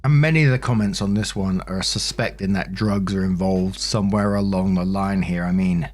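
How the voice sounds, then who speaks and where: A man with a fairly low voice reads online stories aloud as a host. His delivery is that of a narrator who adds casual commentary. Music plays behind him. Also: fairly even intonation, normal speed, darkish tone.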